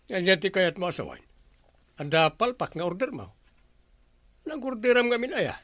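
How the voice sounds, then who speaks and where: A sceptical village captain with an Ilocano accent in a radio comedy. The voice is mid-pitched, lecturing and scolding, in a dry studio. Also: sing-song, normal speed, fairly bright tone, no room sound. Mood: curious.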